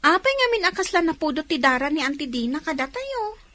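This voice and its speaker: A woman's voice: high voice, big pitch swings, fairly quick, sharp tone, exaggerated voice. A woman acting as a respectful young daughter with dreams in an Ilocano radio drama. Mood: troubled.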